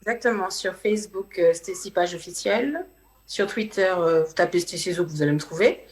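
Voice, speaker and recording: A woman's voice: lowish voice, fairly quick. A woman from Martinique with a Martinican accent, chatting casually as a guest singer in a radio studio interview.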